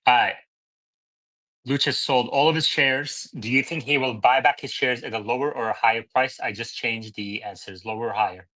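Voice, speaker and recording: A man's voice: medium pitch, steady tone, moderate pace, bright, forceful tone, low-quality recording. A man hosting a live stream discussion, speaking informally and thinking aloud as he moderates. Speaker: host.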